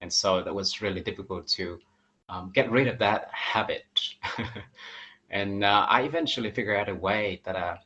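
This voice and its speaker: Bright-voiced man, relaxed. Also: low-pitched, poor audio. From Vietnam. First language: Vietnamese. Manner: relaxed, chatty, laughs often. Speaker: guest, English teacher. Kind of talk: casual interview chat.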